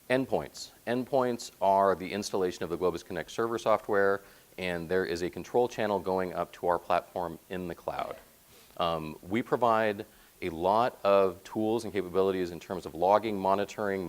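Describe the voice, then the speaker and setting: Deep-voiced man giving a tech talk. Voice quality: deep, resonant. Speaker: conference presenter, software platform team member. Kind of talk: technical conference presentation with slides. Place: presentation room, speaking to slides.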